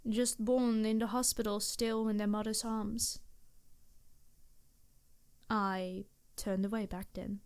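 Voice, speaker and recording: A woman's voice: fairly high pitch, darkish tone, clean tone. A woman voicing an embarrassed, centuries-old vampire in an ASMR roleplay, speaking formally and hesitantly, earnest and apologetic to the listener. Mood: reflective, frank.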